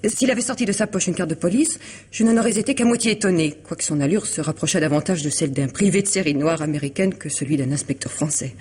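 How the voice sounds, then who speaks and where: A woman's voice: low voice, quick pace, darkish tone, husky. An actress playing an elegant, troubled woman confiding in a lawyer friend in a vintage French radio drama, hesitant yet articulate. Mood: pensive, intrigued. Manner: hesitant, restarting, articulate and formal.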